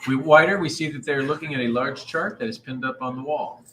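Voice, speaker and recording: A man's voice: medium pitch, brisk, a bit bright, a little hiss or hum. A man directing a live table read and reading out the scene descriptions in an informal, directive way. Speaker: director and stage-direction reader.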